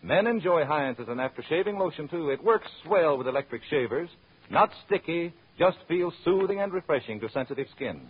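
An American man with a somewhat high, husky voice reads radio advertising copy. He is direct and friendly in the manner of a 1930s announcer. The sound is dull and dated. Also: expressive, brisk. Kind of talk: scripted 1930s radio commercial. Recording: muffled vintage radio recording.

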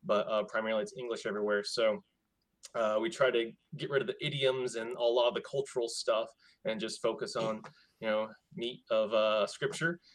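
Relaxed, chatty man explaining his work. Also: on the low side, moderate pace. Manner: relaxed, chatty, loose and informal. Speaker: missions ministry worker. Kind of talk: casual explanation.

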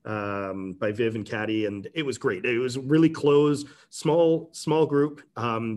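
A middle-aged man speaks as an interview guest in a relaxed, rambling way. He restarts his sentences often and fills the gaps with "you know". His voice sits at an ordinary mid pitch. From Canada. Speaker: tech company president, guest. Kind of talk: casual interview talk.

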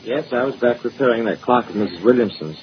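A man's voice: medium pitch, animated, fast talker, lightly acted. A man playing a slyly scheming young clockmaker's son in a 1940s-style radio drama. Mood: sly, casual. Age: young adult. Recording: muffled, hissy old radio recording.

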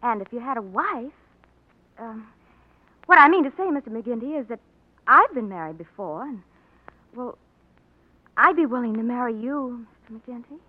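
An earnest, deferential secretary in an old radio play. Her fairly high voice is polite and a little hesitant. The recording is tinny and narrow, like a telephone line. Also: animated, moderate pace, pauses often. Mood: earnest, tentative. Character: polite, devoted, earnest female office secretary. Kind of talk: 1940s radio drama acting. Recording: tinny, narrow-band vintage radio broadcast.

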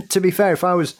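A man, a podcast guest who laughs often and recounts historical stories in detail. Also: high voice. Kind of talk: casual podcast conversation.

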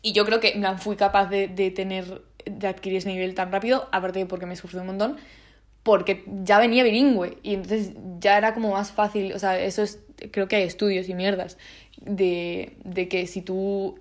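A nineteen-year-old woman from Spain with a Spanish accent, talking in a casual vlog with many restarts and fillers and lots of slang. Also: mid-range voice. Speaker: vlogger.

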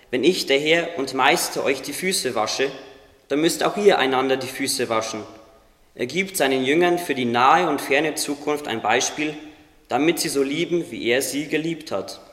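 A man's voice: medium pitch, unhurried, a bit bright, lightly acted. A man playing a wise, modest winged creature who encourages a friend, earnest and instructive, in a religious radio play. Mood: reverent, teaching. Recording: echoey, long reverb, noisy ambience.

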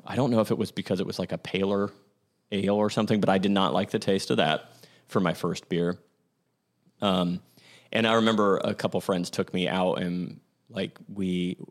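A man in his twenties hosting a show, rambling through personal stories with many fillers and self-corrections. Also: low voice. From Ohio, USA. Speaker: podcast host. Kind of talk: casual storytelling monologue.

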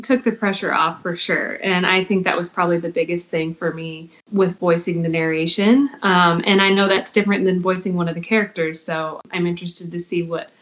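A woman who works as a narrator, chatting casually as an interview guest. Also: on the low side. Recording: dull lo-fi call audio.

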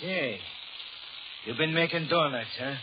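Actor as a brutal high-pitched gangster thug. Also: male voice, measured pace, lightly acted. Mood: sadistic taunting. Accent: American. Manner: slangy, taunting, menacing tough talk. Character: brutal, sadistic, hot-tempered American safecracker thug. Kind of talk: 1930s radio gangster acting. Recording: muffled, noisy 1930s radio recording.

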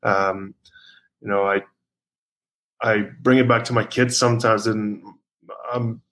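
Rugby player guest, thirties, from South Africa, slightly low voice, loose rambling delivery. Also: male voice, fairly even intonation, takes it slowly, fairly bright tone. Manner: rambling, many fillers and restarts. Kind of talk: casual interview chat.

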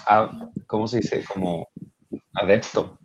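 A man's voice: fairly low pitch, fairly even intonation, slow, lo-fi sound, slight background noise. A man from Mexico, a drummer guest on a video call, speaking in a thoughtful, hesitant way. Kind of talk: casual chat.

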